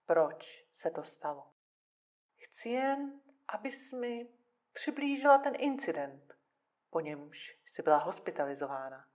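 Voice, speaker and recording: An actress playing a composed, probing female psychiatrist in an audio drama, speaking in a measured, soothing manner. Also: medium pitch, darkish tone, natural voice.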